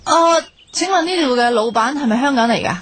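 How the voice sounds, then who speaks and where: An actress playing a feisty, bossy, sharp-tongued young woman in a radio drama, speaking Hong Kong Cantonese in a blunt, expressive way. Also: fairly high pitch, brisk, a little hiss or hum, close to an everyday voice. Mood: curious, teasing. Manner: blunt, teasing, expressive slangy scolding. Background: background music.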